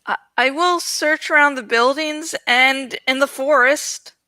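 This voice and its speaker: Lady reading as a fierce loyal she-dragon, grave, few words, slow bright voice. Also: high-pitched, no room sound, pitched below the performer's own voice. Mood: resolute. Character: fierce, loyal female dragon, fantasy storybook companion.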